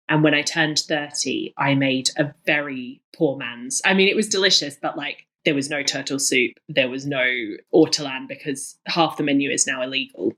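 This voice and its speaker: Low-voiced, effusive woman in conversation. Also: a bit bright. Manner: effusive, emphatic, often repeats words for emphasis. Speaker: guest, cookbook author. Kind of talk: enthusiastic conversational interview.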